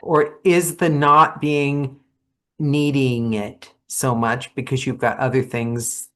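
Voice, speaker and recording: A podcast host and fellow audiobook narrator asking questions and telling stories in a relaxed, conversational way. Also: mid-range voice, takes it slowly. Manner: conversational, storytelling with asides. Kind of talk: casual interview chat.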